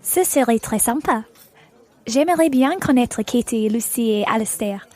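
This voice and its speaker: A polite holidaymaking woman acted by a woman in a language-course radio play. She speaks in a fairly high voice with an agreeable, pleasant manner. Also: expressive, measured pace, darkish tone.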